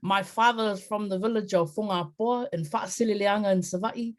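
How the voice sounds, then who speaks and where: A speaker introducing themself on a video-call panel, energetic and chatty with frequent fillers. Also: medium pitch, a little fast. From New Zealand. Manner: warm, energetic, many fillers. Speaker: software engineer, panelist. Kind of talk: casual self-introduction.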